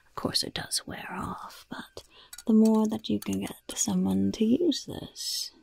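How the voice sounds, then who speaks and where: A mischievous goblin shopkeeper, acted out by a woman, murmurs in a heavily crackling whisper for an ASMR roleplay. The delivery is slow, coaxing and quietly gleeful, close on the microphone, like a sly peddler of cursed wares. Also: medium pitch. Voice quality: breathy, gravelly whisper.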